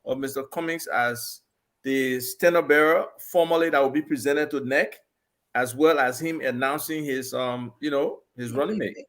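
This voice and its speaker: A man's voice: medium pitch, normal speed, fairly bright tone. Man explaining party process defensively. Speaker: panelist. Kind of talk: political talk show debate.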